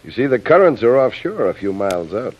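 An actor playing a suspicious island boss in a vintage radio drama, questioning with smooth courtesy and a probing edge. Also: male voice, medium pitch, big pitch swings, a little fast. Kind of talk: 1940s radio acting. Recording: muffled vintage radio recording.

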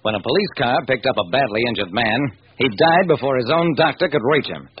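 A man's voice: mid-range voice, expressive, brisk, slightly rough. An actor playing a driven, wry police detective who narrates his case in hard-boiled radio drama style. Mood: tense, matter-of-fact. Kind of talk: old-time radio crime drama narration. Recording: dull, noisy vintage radio recording.

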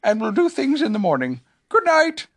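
A storybook reader voicing a small, gentle toy bear who is sleepy, polite and a little puzzled, speaking hesitantly. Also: mid-range voice, huge pitch swings, normal speed, pitched above the performer's own voice. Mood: gently hospitable. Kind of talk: children's storybook character reading.

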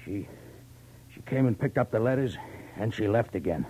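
A man playing a defensive, cornered American thug in a 1940s radio crime drama, blustering and slangy in a gravelly, husky voice. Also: fairly low pitch, expressive, moderate pace, dark tone, rough recording. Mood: cornered, pleading. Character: defensive muscle-bound male thug, tough but cornered.

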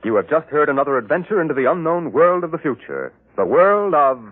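A man's voice: on the high side, sing-song, a little fast. An American man announcing a 1950s radio drama in a dramatic, formal style. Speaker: radio show host announcer. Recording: narrow-band old radio, music behind. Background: music.

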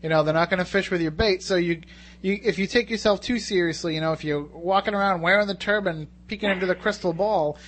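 A man's voice: high voice, a bit bright. A man hosting a radio talk show, bantering casually and cracking jokes. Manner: joking, quick banter.

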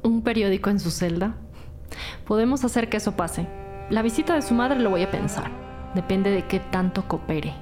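A woman's voice: medium pitch, normal speed, lightly acted. Woman acting a stern, no-nonsense detective. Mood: curt, sarcastic. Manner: curt, commanding, sarcastic. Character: stern, no-nonsense, short-tempered female police detective. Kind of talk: audio drama acting. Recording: music bed under the voice.

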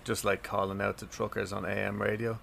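This is an Irish man co-hosting a podcast, joking and chatting loosely. His voice sits low with a gravelly edge. A faint hiss of noise runs under him. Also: little pitch movement, a little fast, fairly bright tone. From Ireland. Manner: joking, laughs easily. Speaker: podcast co-host. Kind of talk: casual chat.

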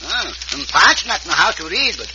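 Excitable high-voiced Mexican cowboy sidekick. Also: male voice, a little fast, highs cut off. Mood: curious, naive. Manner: broken English, speaks of himself in third person. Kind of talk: old-time radio western acting. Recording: noisy old radio recording with hum.

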